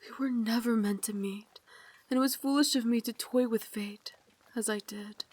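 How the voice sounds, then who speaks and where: A woman voicing a tender, guilt-ridden young Victorian vampire lady in an intimate ASMR roleplay, with formal, dramatic, confiding phrasing that slips into breathy whispers. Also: a little high, fairly even intonation, normal speed, a little mellow. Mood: regretful, urgent.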